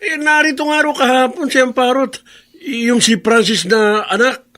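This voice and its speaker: A man's voice: extremely high pitch, fairly even intonation, moderate pace, sharp tone. A man playing a greedy, brash, sly crook in a Filipino radio drama. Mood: sly amusement. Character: crooked, greedy, chuckling henchman husband.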